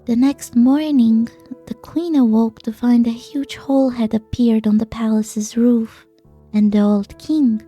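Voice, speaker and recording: Woman as a soft, mellow, slow-speaking storytelling girl. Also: fairly high pitch. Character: gentle young girl solemnly retelling a fairy tale. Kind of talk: amateur fan-dub storytelling.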